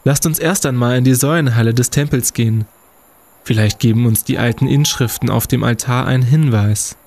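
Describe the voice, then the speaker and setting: A man's voice: mid-range voice, a little fast, a little mellow, lightly acted. A young man playing a bossy, pedantic student adventurer in a radio play, theatrical and officious. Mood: self-assured.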